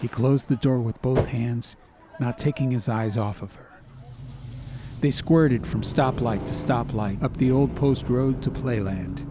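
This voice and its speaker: A man's voice: medium pitch, measured pace, over music. A man reading an atmospheric story as an audiobook narrator, in a scripted literary style.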